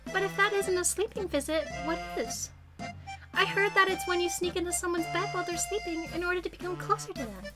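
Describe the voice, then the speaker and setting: A woman voicing a sleepy, teasing high school girl in a visual novel, with a cutesy girlish manner. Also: a little fast. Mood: teasing, innocent. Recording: loud music over the voice.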